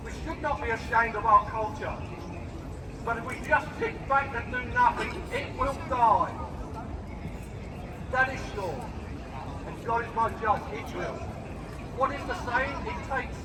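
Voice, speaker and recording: A man with a quiet voice giving an earnest, preachy speech through a megaphone at an outdoor rally, full of rhetorical questions. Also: extremely high pitch. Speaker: rally speaker. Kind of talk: impromptu street rally speech. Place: outdoor high street rally. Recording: echoey distant street recording with crowd. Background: crowd and street noise.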